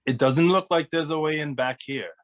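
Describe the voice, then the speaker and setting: A man voicing a level-headed, skeptical young detective in a cartoon comedy, with a dry, deadpan manner. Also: on the high side, moderate pace, close to an everyday voice. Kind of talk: animated comedy table read.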